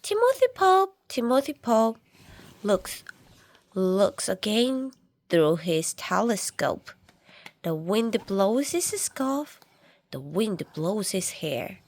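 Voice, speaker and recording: A woman reading a picture book aloud to young children, dramatically, with drawn-out words and shifts between hushed and loud delivery. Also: medium pitch, sing-song. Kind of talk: animated read-aloud storytelling for young children.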